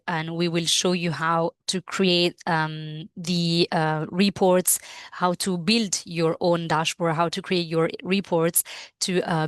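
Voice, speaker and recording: A woman whose first language is Italian presents a live software training webinar, pausing often with fillers and restarting her phrases. Her voice sits low. The sound is dry and close. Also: no echo. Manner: hesitant, many fillers and restarts.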